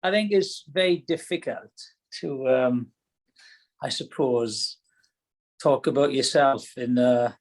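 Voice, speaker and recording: A man's voice: medium pitch, slow, long pauses, darkish tone. A middle-aged Welsh man answering as a podcast guest, reflective and hesitant, often restarting his sentences. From Wales. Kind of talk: casual podcast chat.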